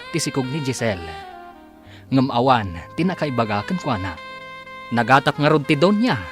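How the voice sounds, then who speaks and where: A man with a Filipino accent narrating a radio drama in a scripted, theatrical announcer style with drawn-out emphasis. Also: mid-range voice.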